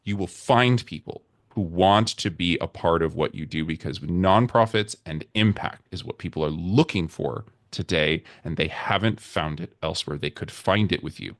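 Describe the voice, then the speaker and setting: A man with a very deep voice, a podcast guest speaking earnestly and rallying listeners. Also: moderate pace, few pauses, no room sound, clean studio sound. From United States. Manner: earnest, rallying speech-like delivery. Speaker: podcast guest, nonprofit consultant. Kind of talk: conversational interview.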